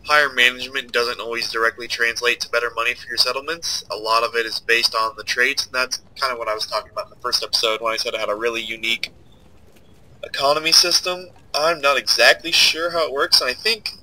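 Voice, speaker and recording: A man's voice: mid-range voice, fairly even intonation, fast talker, pushed and bright, low-quality recording. A young man with a stuffed-up, nasal voice, casually commenting while he plays a strategy game and thinks aloud. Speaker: let's play host.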